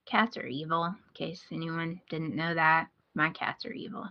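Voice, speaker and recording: A woman's voice: sits low, little pitch movement, moderate pace. A woman co-hosting a podcast in casual chat, with a dry, self-deprecating sense of humour. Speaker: podcast co-host. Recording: slightly dull podcast audio.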